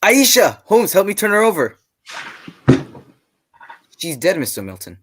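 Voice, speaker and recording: A woman plays an earnest, excitable gentleman sidekick in a radio play. The pitch is lowish for a woman and swings widely. There is some noise and clicking behind the voice. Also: a little slow. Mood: alarmed. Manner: earnest, exclamatory. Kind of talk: radio drama acting.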